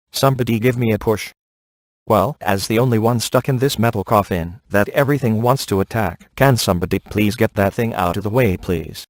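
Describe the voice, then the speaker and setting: A sardonic smart-aleck ghost hunter played by a man with a fairly low voice. He delivers video game lines in a deadpan, laid-back way, recorded dry. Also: no room sound, natural voice.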